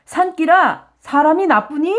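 Lady doing a flustered honest traveler guy in a kids tale, high voice, super animated, kinda indignant. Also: measured pace, exaggerated voice. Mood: earnest asking. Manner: earnest, exclamatory, old-fashioned phrasing. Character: kindly, indignant male traveler, flustered but earnest. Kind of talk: children's storybook character voice.

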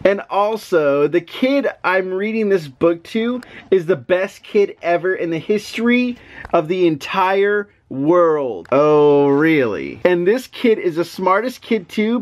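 A man voicing an exasperated, reluctant storybook reader, mock-protesting in a playful, drawn-out way as he is forced to say silly things. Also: high-pitched. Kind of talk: animated children's storybook reading.

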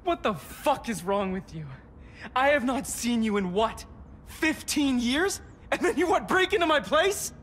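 An actor playing an exasperated, guarded young man in a video game cutscene, speaking in halting bursts. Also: extremely high pitch, expressive, fairly bright tone, a little hiss or hum, heavily acted. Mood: angry exasperation. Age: young adult. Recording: music behind the voice. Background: cinematic music.

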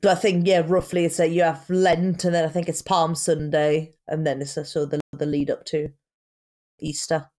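A woman's voice: low voice, level tone, moderate pace, dark tone. A woman co-hosting a casual podcast, thinking aloud in a tentative way. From outside Britain. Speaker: podcast co-host.

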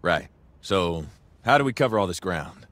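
Casting: blunt male gang boss for a game, lowish voice, lively inflection, cocky. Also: normal speed. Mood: confident.

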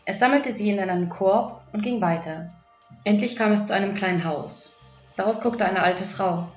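An echoey, slightly dull recording of a woman telling a children's fairy tale. She reads it as an audiobook storyteller, at a middle pitch, with music behind her. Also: normal speed.

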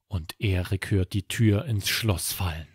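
A man's voice: deep voice, a little slow, husky, no echo, studio quality. A man narrating a scripted bedtime story for children as the host.

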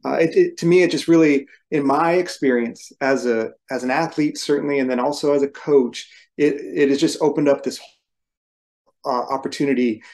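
A man's voice: fairly high pitch, steady tone, moderate pace, darkish tone. A man in his forties speaking as a podcast guest on a video call from a room at home, explaining things in a methodical, instructive way. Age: 40s. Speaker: podcast guest, firefighter and coach. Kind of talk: conversational explanation in an interview. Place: small room at home, indoors.

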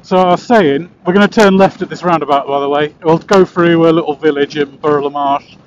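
A man's voice: fairly high pitch, fairly even intonation, fairly quick, a crowd in the background. A man chatting casually and enthusiastically as he reviews motorcycles while riding, speaking into a helmet intercom. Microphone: helmet intercom camera mic. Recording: muffled helmet intercom with road noise.

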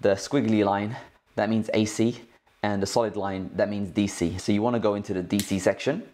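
A man's voice: on the low side, fairly even intonation, fairly quick, a little mellow. A man hosting a tech tutorial in a casual, informal, slangy way, explaining things step by step. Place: indoors at a cluttered work desk.

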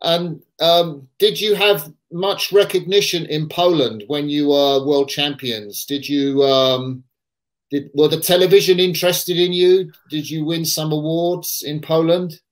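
A man's voice: fairly high pitch, steady tone, measured pace. Older man hosting a video call interview. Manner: warm encouraging questions, rephrasing them simply. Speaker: interview host. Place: room at home.

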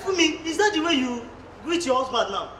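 A man's voice: very high-pitched, sing-song, fairly quick, bright, forceful tone, slight background noise, full character voice. Young man playing a comic Nigerian husband. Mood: exasperated. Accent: Nigerian English. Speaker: stage actor. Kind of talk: live stage drama. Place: theatre stage, large hall.

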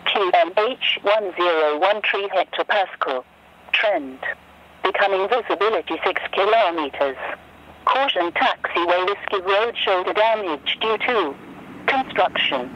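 Man, high voice, automated-style airport announcer, clipped phrases, radio effect, faint noise. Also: unhurried, bright, forceful tone, low-quality recording. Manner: clipped, segmented, repetitive delivery. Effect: aviation radio. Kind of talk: formulaic airport information broadcast read in short phrases.

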